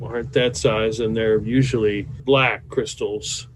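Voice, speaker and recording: A man's voice: on the low side, steady tone, unhurried. Man running a tabletop game, noisy recording. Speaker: game master. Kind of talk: tabletop roleplay narration, casual and improvised.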